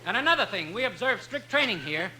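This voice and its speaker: An actor playing a bossy, strict college football coach in a barking, commanding manner, in 1950s radio comedy style. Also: male voice, sits high, very animated, quick pace, over a noisy crowd, heavy background noise. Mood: bossy, stern.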